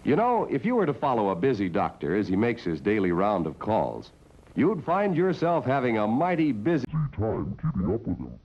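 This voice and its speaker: A man narrates like a vintage commercial announcer, on the low side and extremely animated in pitch. The old broadcast recording is dull, with faint noise behind him. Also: normal speed.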